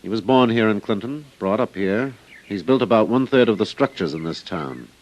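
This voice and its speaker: An American man playing a cautious, principled small-town newspaper editor in an old-time radio drama, measured and plain-spoken. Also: fairly low pitch, animated, highs cut off. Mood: earnest. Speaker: supporting radio actor.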